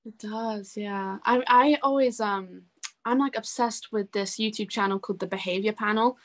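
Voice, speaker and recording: Female, young adult, medium pitch. Informal, enthusiastic, chatty interview guest. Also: moderate pace. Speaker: interview guest, singer-songwriter. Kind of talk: casual chat.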